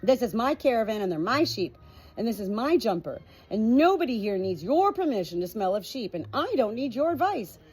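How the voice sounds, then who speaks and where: Woman acting a defiant, husky young shepherdess. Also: a little high, sing-song, a little fast, a little mellow, faint music behind, pitched above the performer's own voice. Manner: firm, assertive. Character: defiant young shepherdess standing up to her mother.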